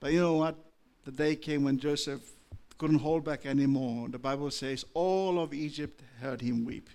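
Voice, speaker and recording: An Australian pastor preaching with a gravelly, slightly raised voice. He moves between low, measured storytelling and loud, impassioned peaks. His words come in short, repeated phrases. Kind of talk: preaching a sermon.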